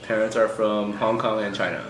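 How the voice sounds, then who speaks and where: A man's voice: lowish voice, moderate pace, echoey room, very noisy. A young man from the United States talking loosely about his family, full of fillers. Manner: relaxed, loose, with many fillers. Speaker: interviewee in a couple's conversation. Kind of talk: casual personal chat.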